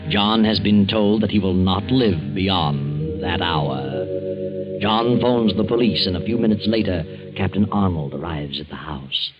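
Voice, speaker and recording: Over loud music on a muffled old recording, an ominous mystery narrator. The deep male voice is deliberate and mocking, in 1940s radio suspense style. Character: sinister, mocking male mystery storyteller, all-knowing.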